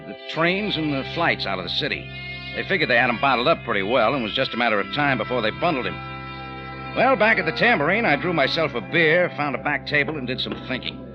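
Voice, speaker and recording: An actor playing a tough, wry American detective hero in an old radio drama, clipped and unflappable, with an American accent. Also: male voice, medium pitch, close to an everyday voice. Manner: hard-boiled, clipped, wry. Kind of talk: old-time radio detective narration and dialogue. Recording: dull old radio recording with music.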